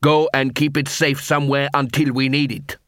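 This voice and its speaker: A man voicing a hostile, gruff male dwarf street fighter who speaks in a curt, accusing way. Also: mid-range voice, little pitch movement, normal speed, a bit bright, dry recording, clean studio sound, close to an everyday voice.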